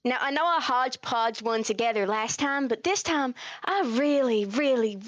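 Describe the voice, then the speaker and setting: Woman as a high-pitched, coy, sly rogue. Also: no room sound, dull audio, natural voice. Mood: coy, halting. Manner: halting, coy, evasive. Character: sly, chatty female clawed rogue adventurer. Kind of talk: in-character tabletop roleplay.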